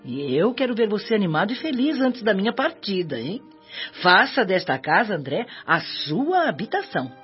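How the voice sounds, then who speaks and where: A woman's voice: mid-range voice, big pitch swings, normal speed, lightly acted. An actress playing a kindly, devout elderly mother spirit in a Brazilian radio drama, speaking Portuguese in an earnest, maternal, moralizing way. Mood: grateful, affectionate. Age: older. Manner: maternal, earnest, moralizing with gentle tag questions. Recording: dull audio with background music.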